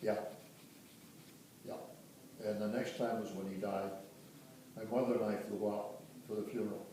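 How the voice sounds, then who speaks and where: Older man, from Michigan, halting, self-correcting, distant mic, very roomy sound. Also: fairly low pitch, flat delivery, dark tone, slight background noise. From Dearborn, Michigan. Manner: halting, restarts and corrects himself on dates. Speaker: interviewee recounting family history. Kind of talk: casual family reminiscence. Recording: roomy, distant home recording.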